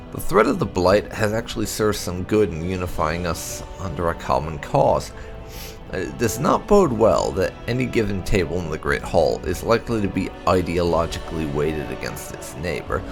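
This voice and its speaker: A man hosting a gaming video, reading lore entries aloud in a theatrical, expressive way with occasional asides. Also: deep voice, creaky.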